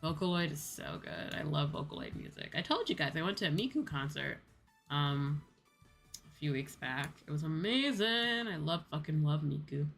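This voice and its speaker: Low-pitched woman, chatting over music. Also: sing-song, moderate pace, gravelly, fairly bright tone. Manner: rambling, conversational, casual swearing. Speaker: VTuber livestreamer. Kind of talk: casual chat with livestream viewers. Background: background music.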